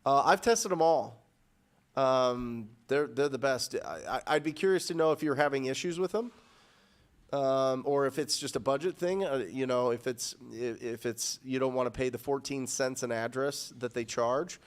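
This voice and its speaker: A man in his thirties hosting a live show from a studio, answering viewer questions in a lively, upbeat way with many restarts, speaking into a boom mic. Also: normal speed, smooth. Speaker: host. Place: studio with purple backdrop.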